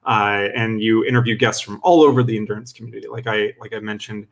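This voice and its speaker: A man's voice: fairly low pitch, fairly even intonation, fast. A young adult man hosting a live-streamed interview webinar over a video call, enthusiastic and full of fillers and restarts. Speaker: webinar host.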